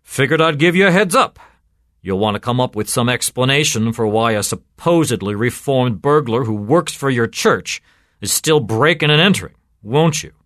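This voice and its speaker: A man voicing a cynical, gruff police sergeant in a story, clipped and sardonic in his delivery. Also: on the low side, lively intonation, measured pace, no room sound, studio quality. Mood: sardonic, taunting. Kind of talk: storytelling character voice.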